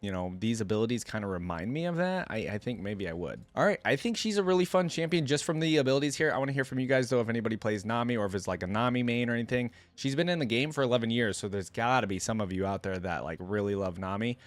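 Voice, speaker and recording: A man hosting a reaction video, talking casually as he thinks out loud and reads text from the screen. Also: medium pitch, expressive, fast talker, few pauses, no echo.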